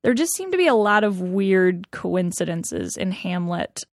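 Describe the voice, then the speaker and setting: A woman hosting, reading smooth scripted narration into a close studio mic. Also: fairly low pitch, very animated, measured pace, no room sound. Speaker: host narrator.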